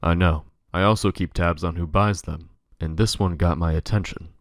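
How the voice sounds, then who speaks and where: A man playing a brooding, protective playboy detective in an audio drama, speaking in a grave, measured way. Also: sits low, steady tone, no room sound, studio quality, natural voice. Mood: grave, insistent.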